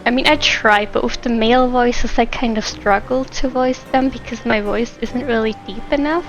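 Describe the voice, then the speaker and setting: A woman's voice: on the high side, fairly even intonation, moderate pace. A woman chatting casually and a little hesitantly while livestreaming a game, on a dull lo-fi microphone. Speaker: game streamer. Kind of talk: casual livestream chat over gameplay. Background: faint game music.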